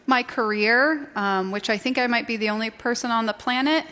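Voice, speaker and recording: A woman in her thirties from Sweden talks as an interview guest on a live stage, her voice pitched a touch high. She chats casually and jokes at her own expense. The sound carries a long hall reverb. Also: moderate pace, bright, forceful tone, slight background noise. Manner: joking, self-deprecating, laughs often. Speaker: inventor and online creator, interview guest.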